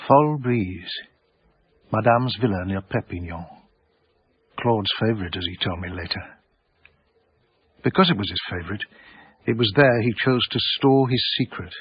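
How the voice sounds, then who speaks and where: An actor playing a wry, literary art dealer, narrating his own story in measured, florid phrases for radio drama. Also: lowish voice, breathy edge, dry recording, muffled recording.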